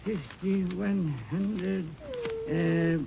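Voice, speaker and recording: A flustered old rancher. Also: male voice, high-pitched, drawn-out words, gravelly, mellow, exaggerated voice. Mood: fussy. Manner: flustered, pleading, rising to panic. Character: frightened older male rancher, fussy. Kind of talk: 1940s radio acting. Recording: echoey old radio transcription.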